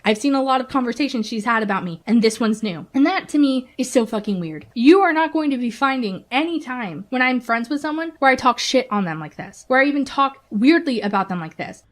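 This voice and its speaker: A woman with a fairly high voice vents informally as a video commentator. Her delivery is sarcastic and indignant. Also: expressive, brisk, hardly any pauses. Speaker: YouTube commentator.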